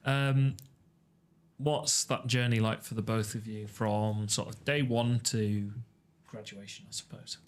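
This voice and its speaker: A man's voice: on the low side, steady tone, a little slow. A man hosting a podcast, asking loose, easygoing follow-up questions. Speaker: podcast host. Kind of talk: casual interview.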